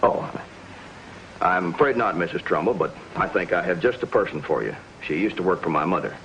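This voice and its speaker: A man's voice: low-pitched, expressive, a little fast, a bit bright, a little hiss or hum. An American actor playing a courteous, confident young western congressman with an easygoing, assured manner in a 1950s television western. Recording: dull vintage TV soundtrack with music.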